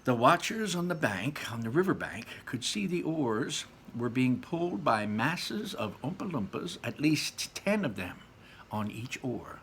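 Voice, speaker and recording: A man's voice: medium pitch, very animated, a little slow, fairly bright tone, a little hiss or hum. A man reading a children's story aloud with dramatic emphasis, repeating phrases and dropping in casual asides.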